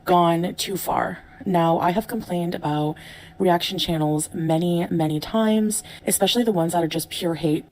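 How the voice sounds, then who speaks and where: A woman's voice: fairly low pitch, moderate pace, darkish tone, rough recording. A woman creator speaking to camera, explaining an aggrieved complaint. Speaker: content creator. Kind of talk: monologue to camera.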